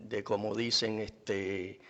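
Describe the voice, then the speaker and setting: A man's voice: on the low side, flat delivery, slow pace, a bit bright. A man hosting a sports talk show, asking questions in a conversational bilingual interview style. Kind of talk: conversational bilingual interview, switching between Spanish and English. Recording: echoey room with long reverb.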